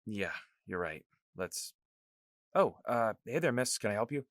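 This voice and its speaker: A man playing a cocky, joking young former Ranger with an excitable, wisecracking manner. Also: on the low side, measured pace, no room sound, studio quality. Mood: wary. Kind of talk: audio drama acting.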